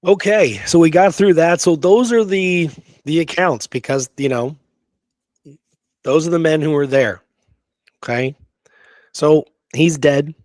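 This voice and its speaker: A man's voice: on the high side, measured pace, pauses often, darkish tone, dry recording. A man hosting a casual history talk from notes, speaking in an emphatic, conversational way and often checking in with the listener. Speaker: podcast host.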